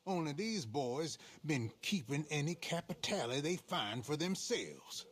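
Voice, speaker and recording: An actor playing a sly, rough Old West outlaw with an American Western accent, husky and conspiratorial, in a game cutscene. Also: male voice, a little high, sing-song, a little slow, a bit bright, a little hiss or hum. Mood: scheming. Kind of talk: video game cutscene acting.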